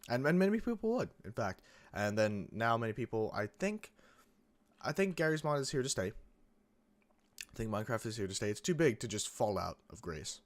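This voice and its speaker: A young man hosting a gaming channel, chatting casually over gameplay in a rambling way with lots of restarts, his voice a bit croaky from coming down with a cold. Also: medium pitch. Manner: rambling, many restarts and fillers. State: getting sick, a bit croaky.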